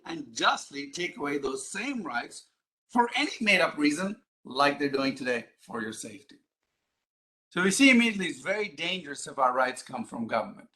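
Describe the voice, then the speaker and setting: A man delivers a live lecture in a fairly high, bright, forceful voice. He explains his points emphatically and leans on rhetorical questions to lead listeners along. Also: rough recording. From Ghana, Middle East and East Africa. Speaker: public speaker, advocacy group president.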